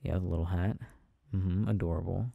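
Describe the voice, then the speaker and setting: Male actor as sleepy loving boyfriend, creaky voice, dry close sound, hesitant, playful, intimate audio roleplay. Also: sits low, steady tone, fairly quick, dry recording, narrow, tinny audio. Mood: tender, contented. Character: affectionate, tired, playful boyfriend getting ready for bed. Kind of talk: intimate boyfriend ASMR roleplay, casual chat.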